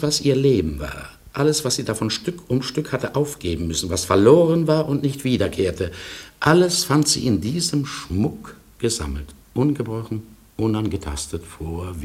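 An actor playing a melancholy husband in a German radio drama, speaking in an emphatic, halting, dramatic way. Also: male voice, fairly low pitch, sing-song. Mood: mournful, intense. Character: melancholy man narrating his lost love, anxious husband. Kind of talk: German radio drama acting, narration and dialogue.

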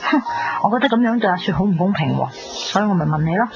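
Actress as brash, animated young Hong Kong woman. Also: medium pitch, quick pace, lightly acted. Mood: indignant, prying. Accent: Hong Kong Cantonese. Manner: blunt, teasing, exclamatory. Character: nosy, brash, teasing young woman, bossy friend. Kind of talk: 1990s radio drama dialogue. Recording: dull lo-fi radio recording. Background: prominent music.

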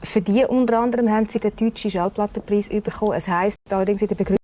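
A woman hosting a TV talk show, presenting and interviewing in a chatty, slightly halting way. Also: medium pitch, steady tone, warm tone, slight background noise.

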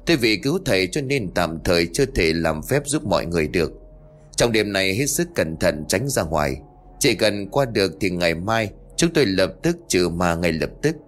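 A man's voice: low voice, very animated, normal speed. A man voicing a grave male exorcist who solemnly warns a family of danger. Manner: solemn, warning.

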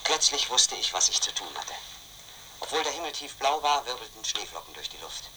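A man's voice: mid-range voice, big pitch swings, fairly quick, background noise, close to an everyday voice. An actor playing a brooding, obsessed man who narrates his own investigation in a radio play, intense and questioning himself. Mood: brooding, agitated.

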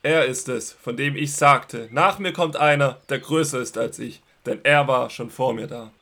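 An actor playing a fervent desert prophet in a biblical radio drama, speaking in a raised, proclaiming way. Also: male voice, medium pitch, normal speed, vocal fry, sharp tone.

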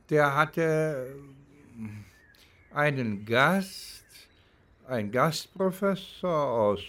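An older man from East Germany, a former professor, recalling his life in an interview in a reflective, halting way as he searches for words. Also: medium pitch, fairly bright tone.

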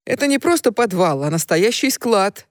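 A woman voicing a gentle devoted young man. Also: mid-range voice, sing-song, moderate pace, no room sound, studio quality, lightly acted. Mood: teasing.

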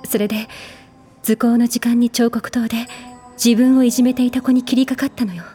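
An actress playing a troubled, caring elder sister confiding in audio drama dialogue. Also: female voice, fairly high pitch, steady tone, fairly quick, dark tone, slightly rough, soft background music. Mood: hesitant, confiding.

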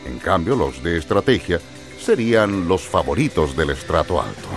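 Male narrator, low voice, lively intonation, scripted educational radio, music underneath. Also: normal speed.

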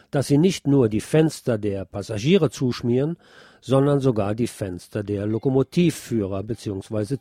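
A male news presenter with a medium-pitched, somewhat dark and mellow voice. He reads scripted reports with a wry, colloquial touch. The sound is dry, with little room around it. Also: no room sound.

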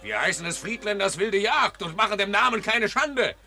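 A bright, gravelly voice with a hard edge. a rough soldier in a radio play, talking bluntly and rowdily. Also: male voice, sits high, lively intonation, moderate pace, exaggerated voice. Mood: rowdy. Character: rough wild soldier, man. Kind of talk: classic radio drama.

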